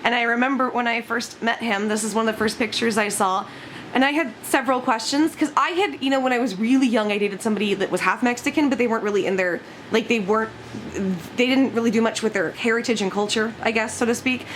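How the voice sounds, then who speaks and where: A woman's voice: fairly high pitch, fast. Scratchy-voiced giggly young woman. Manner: giggly, rambling, frequent laughs and swearing. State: scratchy just-woken voice. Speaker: YouTuber. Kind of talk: casual vlog chat.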